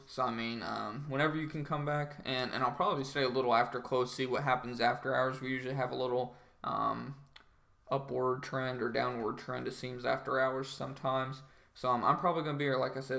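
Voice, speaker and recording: A man's voice: mid-range voice, gravelly, fairly bright tone. A young man with a groggy voice, chatting casually with viewers on a trading livestream, full of ums and likes. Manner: rambling, filler-heavy, says "like" and "um" constantly.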